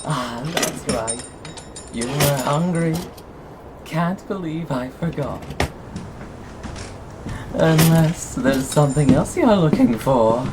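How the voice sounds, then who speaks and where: A man acting an obsessive, sadistically tender lover in a scripted ASMR roleplay, taunting with breathy chuckles. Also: a little high, animated, takes it slowly, pauses often, very noisy. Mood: mocking, controlling.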